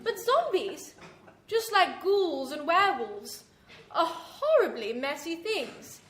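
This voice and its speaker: An actor playing a prim, arch British horror hostess, theatrically addressing listeners with macabre mock sympathy in a classic radio show. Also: female voice, very high voice, very animated, slow pace, a bit bright, slight background noise, exaggerated voice. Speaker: host. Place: theatre venue in front of an audience. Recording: roomy live stage recording.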